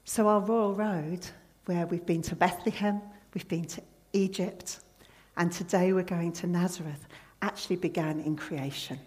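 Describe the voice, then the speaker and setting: A woman's voice: fairly low pitch, fairly even intonation, moderate pace, a little mellow. A woman preacher giving an earnest sermon, quoting scripture and hymn verses with emphasis.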